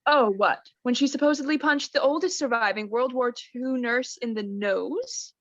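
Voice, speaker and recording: A woman's voice: fairly high pitch, normal speed, fairly bright tone, no echo. A young woman playing an oblivious, doting mother with an arch, theatrical manner in a radio play. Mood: arch, hedging. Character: doting, oblivious mother, affectedly genteel wife. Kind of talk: student radio play acting. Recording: dull, muffled lo-fi home recording.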